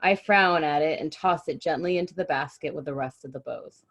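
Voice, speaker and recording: Woman with a lowish voice doing read-aloud storytime, expressive, chats casually too. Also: brisk. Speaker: reader of a children's story and host.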